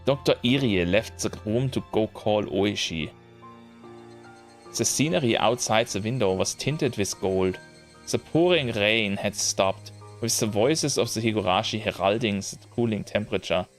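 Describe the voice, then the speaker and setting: Low-voiced man reading a game aloud. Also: unhurried, soft background music. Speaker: streamer. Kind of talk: casual let's-play commentary and reading a visual novel aloud.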